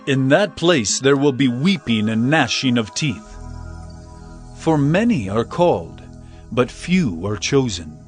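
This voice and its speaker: Jesus, solemn and expressive. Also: male voice, mid-range voice, slow, faint music behind. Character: Jesus, calm authoritative male teacher. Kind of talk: dramatized scripture reading.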